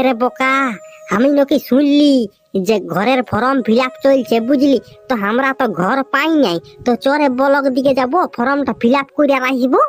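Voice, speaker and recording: A high, cartoonish village friend, acted out by a performer nags and coaxes in broad Purulia dialect. The character is bossy and boastful, with exclamatory comic delivery over faint music. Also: fairly even intonation, normal speed, natural voice. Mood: nagging. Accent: Purulia, West Bengal.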